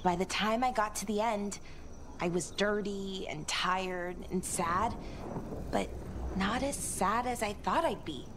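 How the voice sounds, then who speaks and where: A woman voicing an earnest, wistful young elven woman in a video game, speaking reflectively with gentle hesitations. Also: mid-range voice, a little slow, noisy background, natural voice. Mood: reflective, tender. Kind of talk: video game dialogue acting. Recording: music under the voice.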